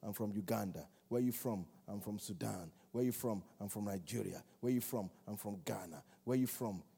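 A man's voice: on the low side, brisk, vocal fry, mellow, breathy edge. A man preaching a sermon in a church, repeating key phrases in a rhythmic call-and-response way. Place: large church hall. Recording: long church hall reverb.

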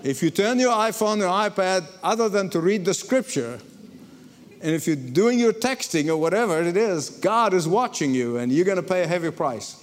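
A man from Egypt, preaching a sermon to a congregation in lively storytelling, often repeating words as he starts a sentence. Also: high-pitched, moderate pace, slight background noise. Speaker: pastor. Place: church before a congregation.

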